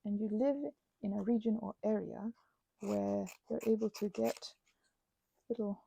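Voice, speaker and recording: A woman giving an unscripted ASMR-style craft ramble as host, halting and self-correcting in an earnest way, close to the mic. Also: medium pitch, level tone, creaky, warm tone, a little hiss or hum, low-quality recording.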